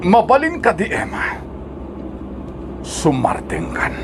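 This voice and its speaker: An actor with an Ilocano accent playing a confident, persuasive young farm-bred boyfriend in a radio drama. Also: male voice, high voice, huge pitch swings, takes it slowly, noisy background, heavily acted. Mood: insistent.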